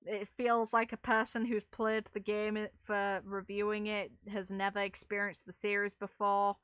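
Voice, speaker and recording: A woman's voice: medium pitch, flat delivery, normal speed, a bit bright, smooth, no room sound. A British woman chatting casually while streaming a game, with a blunt, opinionated manner and some mild swearing.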